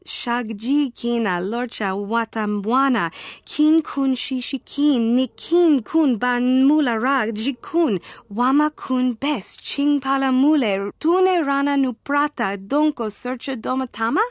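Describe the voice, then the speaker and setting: A fairly high, dry-recorded female voice gives an actress's take on an intense fallen Jedi woman. She delivers video game dialogue in an invented alien language. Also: takes it slowly, dry recording.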